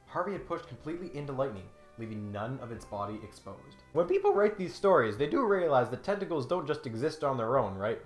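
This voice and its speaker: Sardonic man with lively, swooping delivery. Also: medium pitch, fairly quick, few pauses. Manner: sardonic, exasperated asides. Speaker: host of a comedic critique video. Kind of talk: scripted comedic narration with mock-serious readings and exasperated asides. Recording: roomy sound with faint music behind. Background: faint music.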